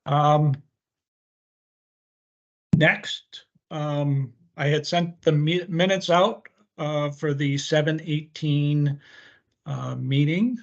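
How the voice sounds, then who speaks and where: Higher-voiced man chairing, dull call audio. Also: steady tone, very slow, pauses often. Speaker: meeting co-chair, government agency staffer. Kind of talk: chairing a formal online meeting. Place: indoors on a video call.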